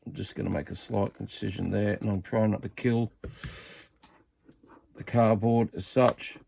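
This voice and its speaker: A man's voice: on the low side, steady tone, normal speed, dark tone. A man giving a casual, informal unboxing commentary, muttering to himself as he handles things. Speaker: hobbyist reviewer of vintage CB radios. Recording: clear background noise, handling clicks.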